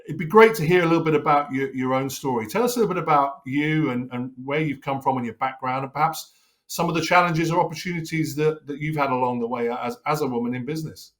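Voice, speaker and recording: A man's voice: fairly high pitch, fast talker, a bit bright. Male interview host. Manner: friendly, scripted intro, then conversational with restarts. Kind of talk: hosting a business interview.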